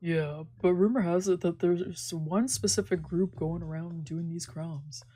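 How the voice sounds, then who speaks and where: A woman puts on a calm, fatherly male doctor from a visual novel. The voice is measured and lowish, over faint music. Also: husky. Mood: pensive. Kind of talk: visual novel character read-aloud.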